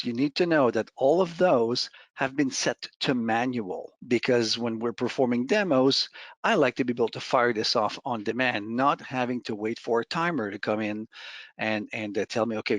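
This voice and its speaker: Man giving a dry-recorded software demo. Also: medium pitch, moderate pace, no room sound. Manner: conversational, step-by-step explaining. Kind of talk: informal screen-share software walkthrough.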